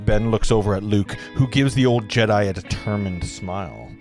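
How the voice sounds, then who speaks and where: A man's voice: on the low side, moderate pace, music in the background. A man reading screenplay scene directions aloud as the narrator of a table read.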